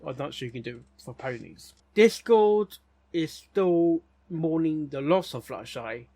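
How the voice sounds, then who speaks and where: A man giving casual, rambling reaction commentary as a host, haltingly, often repeating words and phrases. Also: fairly high pitch, animated, mellow, no room sound.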